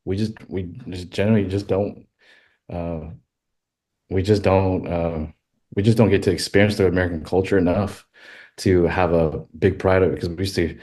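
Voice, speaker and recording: A deep-voiced man from Thailand talks as a podcast guest, his tone low and mellow. He speaks haltingly, full of fillers and restarts, in a relaxed interview. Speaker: podcast guest, western filmmaker.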